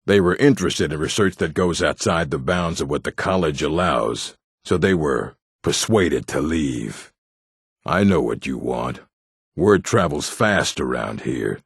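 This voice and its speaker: Gravelly, deep, gruff orc. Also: male voice, lively intonation, a little slow, no room sound, studio quality, full character voice. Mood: dry, dismissive. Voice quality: gravelly, rough. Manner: blunt, curt, stern. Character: gruff adult male orc, blunt proud fantasy warrior folk. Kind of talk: video game character voice lines.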